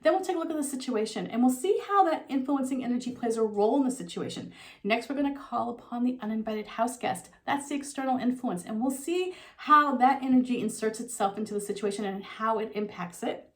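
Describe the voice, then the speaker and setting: A middle-aged woman talks through a tarot reading in a loose, conversational way, her voice a touch froggy from a slight sore throat. Her voice sits somewhat high, and she pads her thoughts with ums and you-knows. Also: expressive, brisk. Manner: soft conversational, with frequent um and you know. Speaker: tarot reader. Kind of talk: talking tarot reading to camera.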